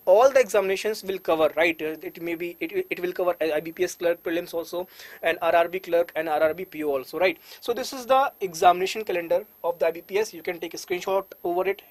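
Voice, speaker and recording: A man's voice: high-pitched, quick pace, no room sound. A man teaching maths in an online exam-prep webinar, earnestly assuring students, with many fillers.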